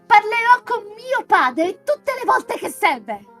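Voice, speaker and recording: A woman plays an imperious young noblewoman, in a very high, swooping voice. She is indignant and petulant, as in a dramatic visual novel reading, with music underneath. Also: sing-song, slow, a bit bright, exaggerated voice. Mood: furious. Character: haughty, furious young noblewoman refusing her fiancé.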